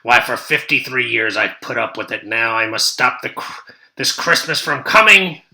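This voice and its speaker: A man's voice: medium pitch, expressive, moderate pace, sharp tone. A man voicing a sneering, scheming old storybook creature with a snarling, gloating delivery. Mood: sour, scheming.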